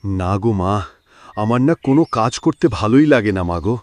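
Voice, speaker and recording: A man voicing a lazy, sleepy, good-natured farmer in a cartoon moral story, with whiny, drawn-out exclamations. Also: on the low side, expressive, darkish tone, dry recording, lightly acted. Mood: plaintive. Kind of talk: animated moral story voice acting.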